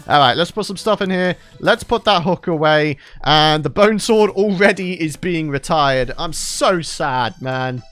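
A man's voice: on the high side, animated, slow pace, fairly bright tone, dry recording, faint music behind. A young man, a regular gaming channel host, chatting cheerfully through a let's play and often laughing, his voice tired from recording late at night. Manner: chatty, upbeat, laughs often, talks to viewers.

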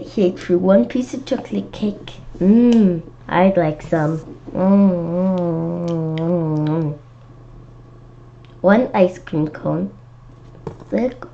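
A woman's voice: lowish voice, creaky, dark tone. A woman reading a children's storybook aloud, adding simple, childlike, chatty comments as she goes. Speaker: children's book reader. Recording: dull lo-fi home recording with noticeable noise.

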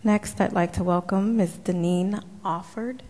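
A woman's voice: medium pitch, steady tone, measured pace, mellow. A woman chairing a school board meeting, briefly and formally calling speakers. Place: large auditorium. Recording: reverberant hall.

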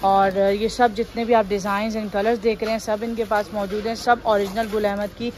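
A woman's voice: mid-range voice, level tone, normal speed, darkish tone. A woman vlogger, walking through a clothing warehouse and talking upbeat, repeating fillers as she shows the stock. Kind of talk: shopping vlog walkthrough. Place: large busy clothing warehouse indoors. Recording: noisy store ambience.

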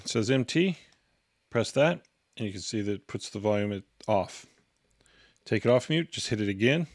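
A man's voice: on the low side, dry recording, clean studio sound. A man giving an instructional product walkthrough in a matter-of-fact, step-by-step way. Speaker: product reviewer and demonstrator.

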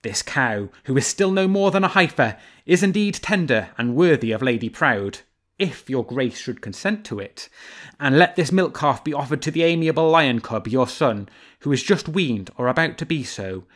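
A cunning fox trickster from a storybook, read by a male narrator. He flatters and defers in smooth, courtly phrases at a middling pitch. The voice stays close to the teller's own. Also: unhurried, close to an everyday voice. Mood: fawning, nervous.

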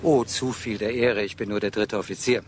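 An actor playing a courteous, attentive ship's officer, polite and precise, in a German radio drama. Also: male voice, fairly low pitch, fairly even intonation, fairly quick, a little hiss or hum, lightly acted.

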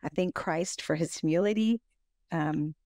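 Hoarse woman with a raspy voice. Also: low voice, unhurried, warm tone, dry recording. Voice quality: raspy smoker-like voice. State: hoarse, recovering from illness. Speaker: women's study co-host. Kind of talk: casual faith discussion.

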